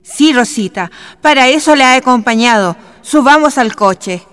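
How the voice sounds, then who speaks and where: A woman's voice: high voice, animated, normal speed, fairly bright tone. An actress playing a concerned, faithful female companion in a historical radio drama. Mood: supportive.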